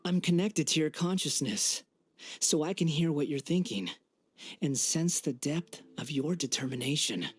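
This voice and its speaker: A man's voice: fairly high pitch, normal speed, slightly rough. An actor playing an arrogant, mocking young man who sees himself as a god, in an English anime game dub. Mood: condescending. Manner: condescending, theatrical, taunting. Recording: background music under the voice.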